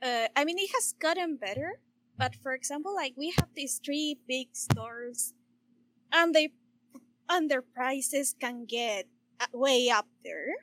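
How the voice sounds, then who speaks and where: A woman from Chile, chatting casually and informally in a halting way as a podcast co-host. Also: high-pitched, expressive, fairly bright tone, poor audio. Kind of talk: casual chat.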